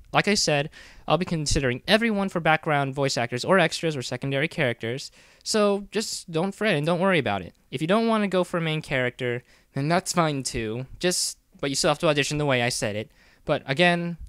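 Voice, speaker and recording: A man with a fairly high, clear voice gives casual instructions as a director. He rambles informally and sounds encouraging. The recording is very dry. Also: a bit bright, no room sound. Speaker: director of a fan dub project giving audition instructions. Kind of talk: casual semi-scripted explainer.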